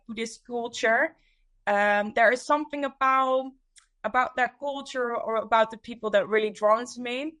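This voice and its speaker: A woman's voice: on the high side, a bit bright. A young woman from the Netherlands with a Dutch accent, chatting casually as a guest in an interview. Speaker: podcast guest, women's coach.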